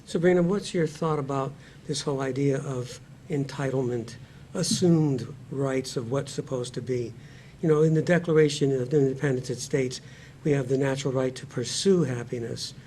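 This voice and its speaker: Male discussion host, mid-pitched, earnest and deliberate, recorded with audible background noise. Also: dark tone. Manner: earnest, deliberate, repeats phrases for emphasis. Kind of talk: moderated panel discussion.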